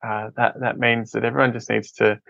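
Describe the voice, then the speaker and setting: A man's voice: medium pitch, little pitch movement, fairly quick, vocal fry, fairly bright tone, no echo, lo-fi sound. An Australian man from Adelaide, a podcast guest speaking earnestly in casual interview talk, often repeating words as he restarts. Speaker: podcast guest, film company head.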